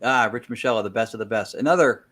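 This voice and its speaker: A man's voice: lowish voice, fast, a bit bright. A man as an interview guest, explaining a technical method in detail and repeating words as he thinks. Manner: self-correcting, repeats words while thinking. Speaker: guest, spirit-communication researcher.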